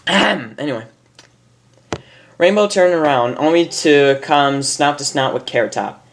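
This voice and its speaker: A woman reading fan fiction aloud in an amateur, halting way, stumbling over words and restarting. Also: sits low, lively intonation, a little hiss or hum.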